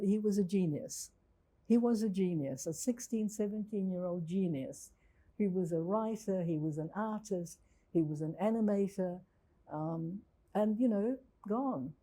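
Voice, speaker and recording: Elderly New Zealand-accented woman, mellow voice. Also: medium pitch, rough recording. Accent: New Zealand English. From Czechoslovakia. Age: 80s. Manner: reflective and candid, with restarts. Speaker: interviewee. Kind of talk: recalled testimony in a public interview. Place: university hall with an audience.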